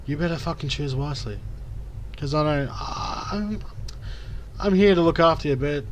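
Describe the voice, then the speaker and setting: Male gaming host, slightly high voice, chatty and sweary, dramatic story reading, noticeable background noise. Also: moderate pace. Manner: profane, chatty, addresses viewers directly. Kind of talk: casual game playthrough with dramatic reading aloud.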